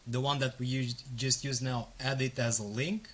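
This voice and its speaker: A man in his thirties, a native Romanian speaker, casually walking through a software tutorial step by step with frequent ums and uhs. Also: mid-range voice, steady tone, moderate pace, breathy edge. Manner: casual, explains step by step with fillers. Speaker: software demo presenter. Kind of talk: screen-recorded tutorial walkthrough.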